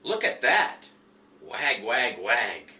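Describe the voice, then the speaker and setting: A man's voice: medium pitch, wildly swooping pitch, slow pace, sharp tone, heavily acted. A man voicing an eager, dreaming dog from a children's picture book, in simple read-aloud phrases. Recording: roomy, distant mic with faint noise.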